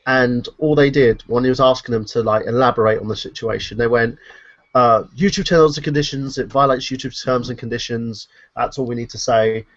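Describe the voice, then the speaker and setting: A man's voice: medium pitch, fairly even intonation, fairly quick, no room sound. A man from the United Kingdom on a group stream call, explaining things at length with frequent ums. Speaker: stream panelist. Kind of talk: casual group chat. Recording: dull dry lo-fi call audio.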